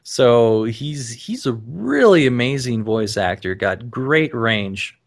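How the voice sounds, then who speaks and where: A man hosting a casual podcast, talking in a measured way with many pauses and restarts. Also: mid-range voice, expressive. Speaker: podcast host.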